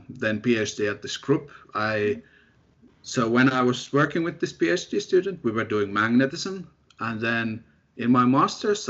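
A young man from Finland speaks in an easygoing, self-deprecating way, pausing often with fillers. His voice sits at a middle pitch. The recording sounds somewhat dull and lo-fi. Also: bright, forceful tone. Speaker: guest researcher. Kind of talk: casual interview chat.

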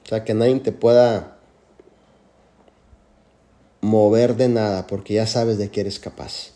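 A man's voice: lowish voice, steady tone, takes it slowly, dark tone. A man mentoring on a group call, earnest and full of "este" fillers. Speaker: mentor answering questions.

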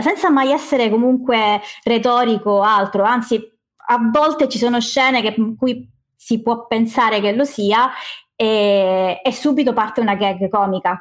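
A woman hosting a casual film discussion, explaining at length in an enthusiastic, halting way. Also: mid-range voice. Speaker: podcast host.

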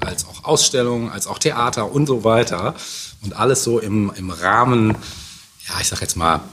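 A man's voice: on the low side, a little hiss or hum. A man in his forties hosting a casual podcast, rambling and hesitant with many fillers. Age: 40s. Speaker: podcast host. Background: faint music.

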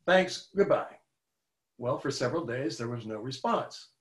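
A man's voice: mid-range voice, brisk. Earnest older man telling a story. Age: 70s. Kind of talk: personal faith testimony storytelling. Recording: roomy, echoey room.

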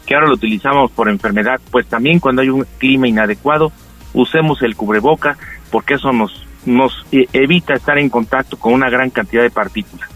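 Calm doctor explaining health. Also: male voice, medium pitch, fairly quick, soft background music, poor audio. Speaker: doctor giving health advice. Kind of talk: radio health segment guest.

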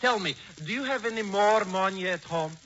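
An actor playing a wily, fawning professor of genealogy with a theatrical, oily manner in a 1940s radio comedy. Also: male voice, high-pitched, a little fast, natural voice. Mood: slyly fawning. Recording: noisy, muffled 1940s radio recording.

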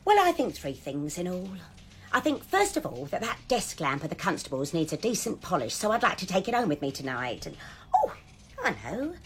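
A working-class English charlady in a radio comedy. She is sly and chummy, teasing and coaxing with a wry, lively lilt, over faint background noise. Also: on the low side, a little fast. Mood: wheedling, bossy. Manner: wry, matey, cajoling. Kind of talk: British radio comedy acting.

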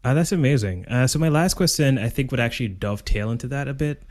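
A man hosting a podcast interview, asking questions haltingly with many fillers and restarts. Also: mid-range voice, expressive. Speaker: podcast host.

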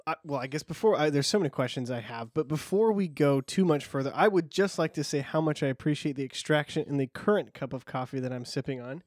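A man hosting a casual podcast, friendly and halting, with many restarts. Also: fairly high pitch, expressive, darkish tone, no echo, studio quality. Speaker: podcast host.

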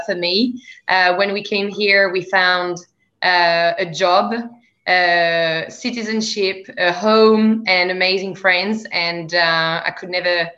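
French-accented woman, bright and hesitant. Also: mid-range voice, lo-fi sound. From France. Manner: hesitant, many ums and uhs. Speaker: guest. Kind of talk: casual interview chat.

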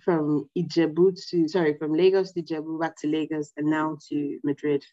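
A woman's voice: deep voice, level tone, normal speed, mellow, no room sound, lo-fi sound. A woman hosting a casual podcast, friendly and giggly as she asks her guest questions. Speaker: podcast host.

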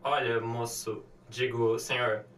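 A man's voice: mid-range voice, level tone, slow, fairly bright tone. A Brazilian man acting a desperate, ashamed broke gambler in a student radio drama, anguished and hesitant. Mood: timid pleading. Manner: anguished, hesitant muttering to himself. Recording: echoey reverberant sound with music behind.

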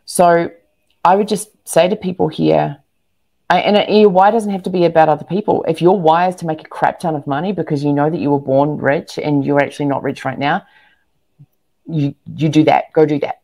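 Woman, slightly low pitch, energetic, emphatic, repetitive for emphasis, animated interview guest. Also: fairly quick, gravelly. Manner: bright, energetic, emphatic, repeats words for emphasis. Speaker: guest, entrepreneur and speaker. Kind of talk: animated interview talk to a live online audience.

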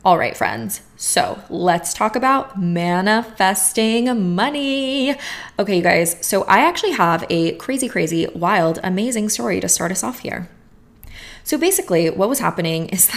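A woman's voice: lowish voice, creaky. A young woman hosting a podcast, talking to her listeners in a casual, effusive way and calling them friends. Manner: effusive, addresses listeners as friends and you guys. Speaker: podcast host.

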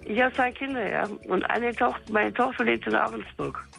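A woman in her forties chatting casually as a radio caller, cheerful and quick to laugh. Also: fairly high pitch, creaky, a bit bright, soft background music, low-quality recording. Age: 40s. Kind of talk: casual phone chat.

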